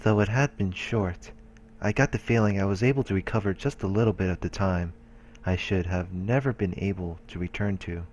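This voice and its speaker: A man's voice: sits low, fairly quick, darkish tone, a little hiss or hum. A man reading a visual novel's story narration aloud as a let's play narrator. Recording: music behind the voice. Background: game music.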